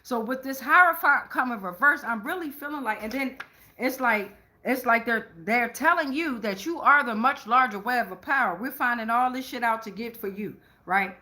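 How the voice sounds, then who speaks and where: Bright, high-voiced woman doing tarot, swearing freely. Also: normal speed. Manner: profane, blunt, slangy; rambling, with frequent "right?" check-ins. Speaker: tarot reader and channeler. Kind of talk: casual tarot reading monologue.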